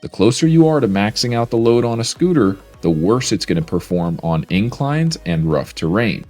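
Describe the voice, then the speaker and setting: A man's voice: on the low side, moderate pace, faint music behind. A man, presenting a scripted product video in an upbeat, salesy way. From Florida, USA. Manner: upbeat, salesy, lively intonation. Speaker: mobility scooter company presenter.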